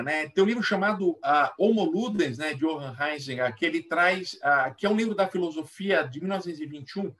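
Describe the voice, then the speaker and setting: A Brazilian man talking casually as an interview guest and author, in a halting manner that repeats words. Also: fairly high pitch, a bit bright. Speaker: guest author and play educator. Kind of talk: casual explanatory interview talk. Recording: voice somewhat far from mic.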